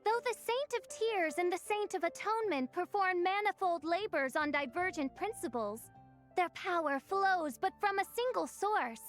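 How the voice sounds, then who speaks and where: A woman's voice: very high voice, animated, normal speed, soft background music. A woman playing a pompous, theatrical princess girl in a video game, declaiming in archaic, lofty language. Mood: grandly imperious. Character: grandiloquent theatrical self-styled princess girl. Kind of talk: video game character dialogue.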